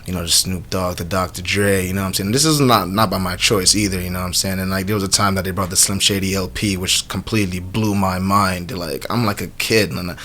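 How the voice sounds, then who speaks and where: A young man from Jamaica with a low voice chats in a radio studio interview. He tells stories in loose, animated slang, often checking that the listener follows. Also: fast talker, hardly any pauses, a little hiss or hum. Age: 20s. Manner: slangy, animated storytelling with frequent "you know what I'm saying". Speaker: rapper being interviewed. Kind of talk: casual radio interview answers. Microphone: studio microphone.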